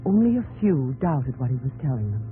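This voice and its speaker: A woman's voice: very deep voice, sing-song, a little fast, narrow, tinny audio. An actress playing a devoted wife who looks back on life with her husband, in the style of 1950s radio drama narration. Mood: reflective. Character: devoted wife of a mill pioneer, reminiscing. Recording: old 1950s radio broadcast, music behind.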